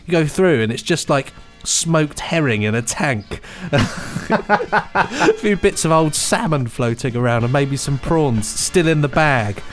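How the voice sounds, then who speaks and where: A man with a fairly high voice that swings animatedly as he talks. He co-hosts a podcast in a casual, playful style, riffing on silly jokes. Music sits faintly behind him. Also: animated, measured pace. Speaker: podcast co-host. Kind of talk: jokey casual chat.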